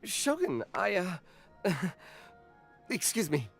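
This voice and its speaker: A woman's voice: medium pitch, sing-song, slow, gravelly, soft background music, full character voice. A woman playing a nervous, eager food stall vendor in a video game, hesitant and earnest.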